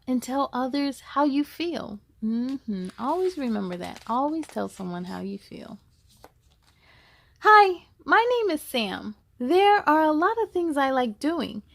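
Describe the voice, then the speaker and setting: A woman hosting a children's storytime, reading aloud in a cheerful, encouraging and expressive way. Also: high voice, no room sound, clean studio sound. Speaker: host of a children's read-aloud show.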